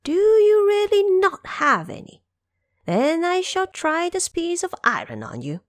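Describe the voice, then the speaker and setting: A brash monkey king acted out by a woman in an audio drama. The voice sits high and leaps around with mischief, always demanding and sure of itself. The sound is close and dry. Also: wildly swooping pitch, a little slow, dry recording, heavily acted. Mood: bold, commanding. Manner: brash, insistent, bargaining.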